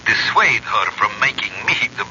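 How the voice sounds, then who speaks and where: An actor playing a scheming doctor in a radio drama, formal, hesitant and evasive. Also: male voice, on the low side, very animated, moderate pace, pushed and bright, full character voice. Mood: flustered, evasive. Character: smooth-mannered, evasive male doctor, secretly scheming. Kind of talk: 1970s radio drama acting. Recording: muffled, hissy old radio broadcast.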